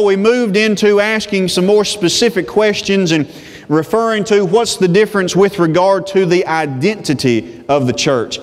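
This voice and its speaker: A man in his thirties preaches from a pulpit in a fairly high, carefully paced voice. He draws out his phrases with slow deliberation. The lapel mic catches the long reverb of the church sanctuary around him. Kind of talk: sermon.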